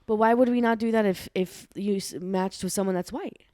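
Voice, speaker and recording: A young woman co-hosting a casual podcast with her brother, talking loosely with lots of fillers and quick agreements. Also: medium pitch, mellow, dry recording, clean studio sound. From Bosnia. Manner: conversational, frequent "right" and fillers. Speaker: podcast co-host. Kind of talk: casual chat.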